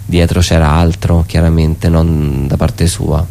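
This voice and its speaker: Male interview guest with a very deep voice, thinking aloud in a halting, conversational way. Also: level tone, gravelly, dark tone. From Italy. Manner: halting, repeats words while thinking. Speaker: theatre artist, interview guest. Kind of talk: reflective conversational radio interview.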